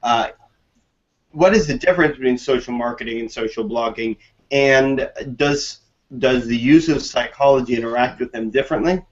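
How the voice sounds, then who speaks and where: A man co-hosting an online show, reading and rephrasing an audience question in a relaxed way. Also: mid-range voice, normal speed. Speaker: co-host. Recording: dull lo-fi web call audio.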